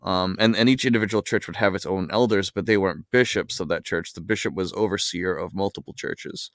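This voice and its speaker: A man in his thirties explains things in a casual discussion, halting and then speeding up. His voice is low-pitched, and the recording is dry and close. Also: fairly even intonation, a bit bright, no echo. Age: 30s. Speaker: podcast guest.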